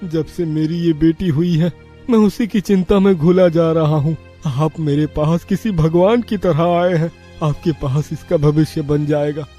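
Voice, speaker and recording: A man's voice: fairly high pitch, steady tone, a little slow, mellow, faint music behind. A man playing a poor, anxious, humble labourer in a cartoon story, pleading and plaintive. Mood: humble, sorrowful.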